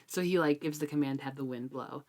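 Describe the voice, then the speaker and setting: A woman's voice: low voice, fast talker, darkish tone. A woman co-hosting a book discussion, talking informally and conversationally as she thinks aloud. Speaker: book discussion podcast co-host. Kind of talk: casual book chat.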